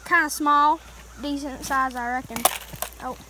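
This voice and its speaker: A woman's voice: high voice, fairly even intonation, a little slow, noisy background. A woman, chatting easily to viewers while fishing by a mountain creek. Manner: chatty, easygoing, talks to viewers. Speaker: fishing vlogger. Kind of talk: casual running commentary while fishing. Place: outdoors by a wooded mountain creek. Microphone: handheld phone camera.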